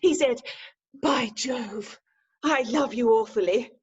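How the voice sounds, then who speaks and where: A woman playing a breezy, teasing young Victorian lady in a stage farce, arch and expressive, recorded over a video call. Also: fairly high pitch, takes it slowly, breathy edge, highs cut off, full character voice. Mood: breezy, amused. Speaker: actor in an amateur staged reading.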